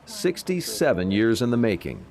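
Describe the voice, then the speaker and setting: A man's voice: mid-range voice, big pitch swings, normal speed, background noise. An American man reporting for television news, reading a scripted feature narration.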